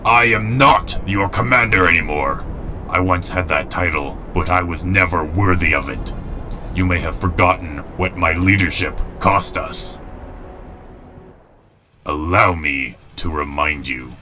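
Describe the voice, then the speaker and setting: A very low-voiced, weary robot leader played by a man speaks with solemn, principled gravity. His lines are formal and dramatic, in the manner of an animated comic dub, with music clearly playing underneath. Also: unhurried, heavily acted. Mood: regretful.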